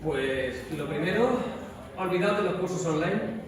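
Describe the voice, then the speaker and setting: A man's voice: sits high, animated, measured pace. A man with an accent from Spain giving informal, plain-spoken advice as a guest on a live panel. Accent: Spanish from Spain. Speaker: voice actor, guest speaker. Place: large echoey event hall at a convention. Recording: very echoey hall, distant mic, noisy.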